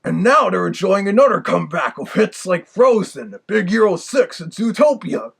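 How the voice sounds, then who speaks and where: A man giving casual review commentary as a vlogger, in a husky, gravelly voice. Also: sits high, expressive, moderate pace, a bit bright.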